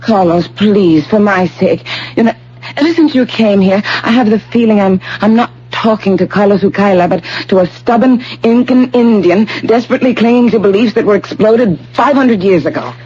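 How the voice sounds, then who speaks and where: A woman's voice: medium pitch, moderate pace, mellow, slightly rough, natural voice. An actress playing a headstrong, ambitious young female graduate student in an old-fashioned radio drama, emphatic and self-assured. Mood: pleading, eager. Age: young adult. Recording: dull, hissy old radio broadcast with hum.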